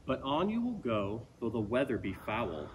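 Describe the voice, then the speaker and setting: Man, expressive storybook read-aloud, very lively pitch swings, theatrical emphasis, faint outdoor background noise. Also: moderate pace, poor audio. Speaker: outdoor education naturalist reading a children's book aloud. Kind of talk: rhyming picture book read-aloud.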